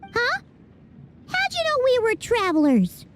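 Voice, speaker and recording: A woman voicing a tiny, chatty, excitable childlike fairy companion in a video game, with a squeaky, perky delivery. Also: measured pace, a little hiss or hum, full character voice. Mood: curious, cheerful. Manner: perky, exclamatory, refers to self in third person. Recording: music playing behind the voice.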